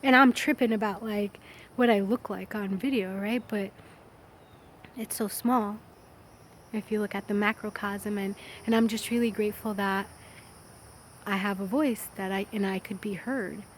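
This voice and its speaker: A woman's voice: medium pitch, fairly even intonation, moderate pace, a little hiss or hum. A woman in her thirties talking candidly and casually, rambling a little as she thinks aloud in a personal, reflective vlog. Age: 30s. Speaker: vlogger. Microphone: phone.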